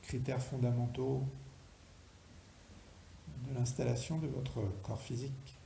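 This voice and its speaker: A man guiding a relaxation meditation as a yoga instructor, speaking slowly and deliberately, with pauses between instructions. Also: mid-range voice, flat delivery, gravelly, dark tone. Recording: roomy echo, faint background noise.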